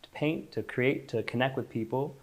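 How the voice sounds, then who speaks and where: A man's voice: mid-range voice, moderate pace. A man born in Israel and raised in Los Angeles, a company founder chatting as an interview guest in a loose, searching way with frequent restarts. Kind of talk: casual interview chat.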